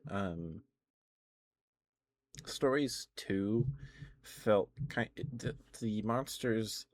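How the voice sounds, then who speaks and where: A man doing casual ASMR livestream chat into a close microphone, musing in a hesitant, unhurried way. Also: on the low side, lively intonation, a little mellow, no echo, poor audio.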